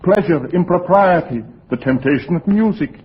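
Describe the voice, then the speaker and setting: An actor playing a sinister, fanatically pious lodger in a 1940s radio drama, preaching in a formal, sanctimonious way. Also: male voice, high-pitched, animated, normal speed, slightly rough. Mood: righteous, stern. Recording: muffled, noisy 1940s radio recording.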